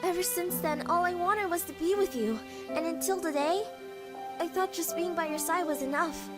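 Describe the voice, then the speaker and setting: A woman voicing a shy young woman who stammers as she confesses her love in a visual novel game. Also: very high-pitched. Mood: earnest. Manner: halting, stammering. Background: loud game music.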